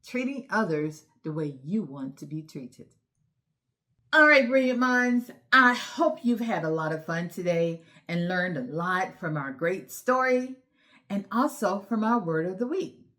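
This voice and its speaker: A woman hosting a children's storytime lesson, encouraging and instructive, speaking directly to young children with emphatic, deliberate enunciation. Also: lowish voice, expressive, a bit bright. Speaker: children's read-aloud host and teacher.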